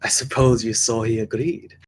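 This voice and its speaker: A man's voice: mid-range voice, fairly even intonation, normal speed, a little hiss or hum, lightly acted. A man playing a sly, sinister schemer with formal wording in a dramatic screenplay table read. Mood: cold, demanding. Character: sly, smooth-talking sinister male schemer.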